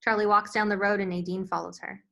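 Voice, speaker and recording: Woman narrating screenplay directions, medium pitch. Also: level tone, quick pace, gravelly, pushed and bright. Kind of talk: scripted narration, screenplay reading.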